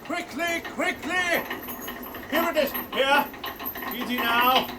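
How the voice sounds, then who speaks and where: Manic, high-pitched mad scientist. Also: male voice, slow, far from the mic, very noisy. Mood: frantic, impatient. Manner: imperious, feverish, barking repeated commands. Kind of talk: theatrical radio play acting.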